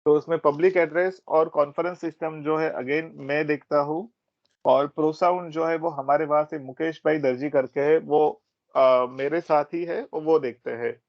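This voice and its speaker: An Indian man walks through a training presentation in an explanatory, informal way, switching between Hindi and English. His voice sits a little high with a gravelly fry in it. The recording is dry and somewhat dull. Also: fairly even intonation, moderate pace, dry recording. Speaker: technical product trainer at a systems company. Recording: dull, band-limited close audio.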